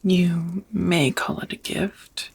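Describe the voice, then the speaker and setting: Breathy, whispering vampire woman voiced by an actress, slow. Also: lowish voice, fairly even intonation, full character voice. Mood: tender, hesitant. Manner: slow, hesitant, intimate, slightly old-fashioned phrasing. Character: tender, nervous ancient female vampire confessing love. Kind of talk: whispered ASMR romantic roleplay.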